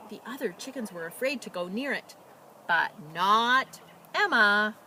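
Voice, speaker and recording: A woman reading aloud as a children's storyteller, with expressive, dramatic emphasis and casual delivery. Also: medium pitch, a little hiss or hum. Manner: animated, dramatic emphasis and drawn-out words.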